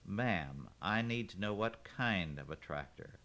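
A man's voice: deep voice, lively intonation, measured pace, a bit bright, full character voice. A man voicing a polite, plodding parts counter man in a read-aloud story. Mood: patient.

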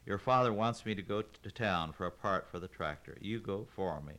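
A man's voice: low-pitched, normal speed, fairly bright tone. A man voicing an elderly grandmother who is dramatic, tearful and manipulative, pleading and bargaining in a storytelling reading. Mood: wailing.

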